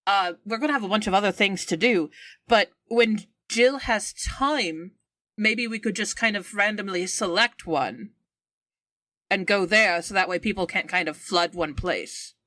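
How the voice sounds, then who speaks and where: A woman's voice: medium pitch, unhurried, bright, forceful tone, no echo. A woman talking casually as a player in a tabletop game.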